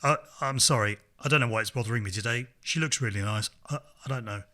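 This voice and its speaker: A man's voice: medium pitch, quick pace, pushed and bright, close to an everyday voice. A man reading a short story, voicing an uneasy, pleading male friend who stammers apologetically. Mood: frightened, apologetic. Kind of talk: acted dialogue in a literary audiobook.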